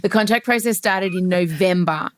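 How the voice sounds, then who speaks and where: A woman's voice: lowish voice, normal speed, dry recording. Gushing woman, dry close sound. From Australia. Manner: animated, gushing, jokey asides. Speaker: podcast host. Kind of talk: casual podcast chat. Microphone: laptop setup.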